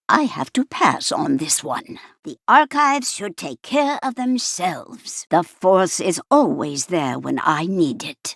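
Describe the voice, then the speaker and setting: An elderly female archivist from a space-fantasy video game, speaks in a formal, prim way with a wry, fussy edge. Her voice sits a little low for a woman and moves in lively, theatrical swings. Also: no room sound, studio quality, full character voice. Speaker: game character voice actor. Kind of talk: scripted video game character lines.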